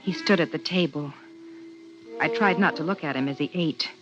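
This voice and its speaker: An actress playing a polite, careful, slightly anxious wife and hostess in a 1950s radio drama. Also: female voice, low-pitched, moderate pace, natural voice. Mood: narrating, uneasy. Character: polite, proper suburban wife hosting an alien guest. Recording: muffled 1950s radio recording, faint music.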